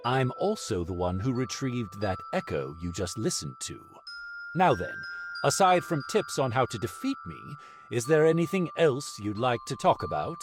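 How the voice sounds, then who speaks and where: Game casting: male actor as gruff, cocky male guard captain, taunting and confident, hugely animated delivery. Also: medium pitch, moderate pace, music in the background, natural voice. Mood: amused, confident. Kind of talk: video game voice acting.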